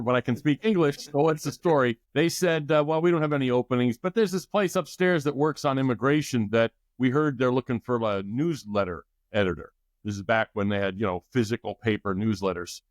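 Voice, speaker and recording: Middle-aged male guest, measured explainer, dry close recording. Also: medium pitch, no echo. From United States. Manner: thoughtful, explanatory with restarts. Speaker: guest expert. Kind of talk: podcast interview.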